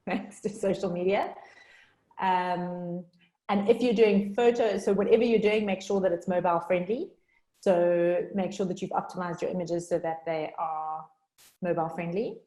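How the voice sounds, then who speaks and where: Fast-talking South African woman. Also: fairly low pitch, warm tone. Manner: fast, chatty, informal. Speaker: workshop speaker. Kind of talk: online workshop talk. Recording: roomy echo.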